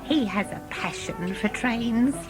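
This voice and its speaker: An actress playing a chatty, fussy elderly wife on a train trip in an audio drama. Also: female voice, on the high side, takes it slowly, music in the background. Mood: fond, wistful. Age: older.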